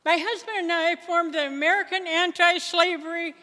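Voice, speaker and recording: A woman playing a dignified Quaker minister and veteran abolitionist, giving a formal, deliberate stage speech in a readers theater. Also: extremely high pitch, fairly even intonation, sharp tone. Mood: earnest, gracious. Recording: reverberant hall.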